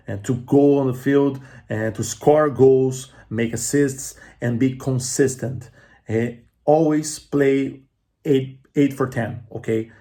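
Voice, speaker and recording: A man's voice: mid-range voice, gravelly, darkish tone. A man from Brazil with a Brazilian Portuguese accent, speaking English as a second language, hesitant and full of fillers as a guest giving inside info on a player. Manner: hesitant, many fillers and restarts, repeats "okay". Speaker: guest club channel presenter. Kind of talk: casual recorded message.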